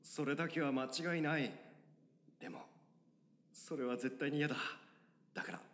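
A man's voice: medium pitch, sing-song, moderate pace, a bit bright. A man voicing a shy, nervous teenage schoolboy who narrates his own story and stammers when flustered, in an audio drama. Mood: anxious. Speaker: voice actor. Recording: long reverb with music underneath.

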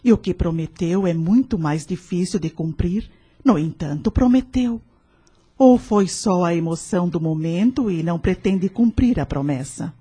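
An actress playing a calm, wise female spiritual counselor in a radio drama, measured, formal and gently probing. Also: on the low side, slightly rough. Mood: firm, encouraging. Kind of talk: radio soap opera acting.

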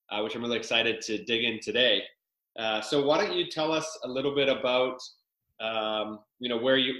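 A man's voice: medium pitch, bright, forceful tone. A man hosting a podcast interview, upbeat and enthusiastic, with loose filler-filled questions. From Canada. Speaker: podcast host. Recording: echoey room.

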